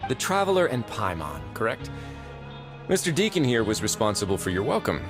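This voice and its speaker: A suave prison-warden duke, polished and wry, low-ish voice, animated intonation, faint game music. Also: male voice, a little fast. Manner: polished, calm, gently wry. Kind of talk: video game cutscene dialogue.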